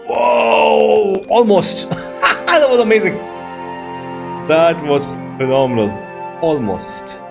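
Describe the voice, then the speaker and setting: A man's voice: high-pitched, takes it slowly, vocal fry. Man giving casual game commentary over music. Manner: thinks aloud, exclaims. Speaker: gamer hosting a playthrough.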